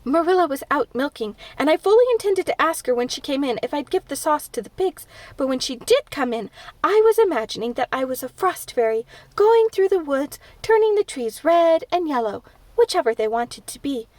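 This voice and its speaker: Dramatic chatterbox girl, voiced by a woman reader, high and lively, storybook read-aloud. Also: moderate pace, dry recording. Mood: gushing. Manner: breathless, dramatic, gushing. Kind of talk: audiobook character voice.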